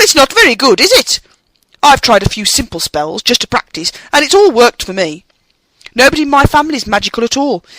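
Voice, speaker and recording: A reader voicing a bossy young schoolgirl witch who talks very fast, in a storybook reading. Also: mid-range voice, a bit bright, dry recording, voice pushed up, rough recording.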